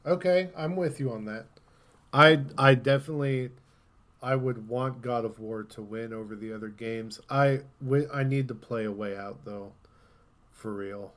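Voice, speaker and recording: A man's voice: mid-range voice, moderate pace, fairly bright tone. A man co-hosting a gaming podcast in casual conversation, thinking aloud with some hesitation. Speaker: podcast co-host.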